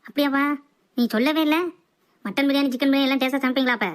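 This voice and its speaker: A woman's voice: high-pitched, fairly even intonation, rapid-fire, close to an everyday voice. A woman voicing a cheeky, food-loving young son in a comic cartoon. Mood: curious.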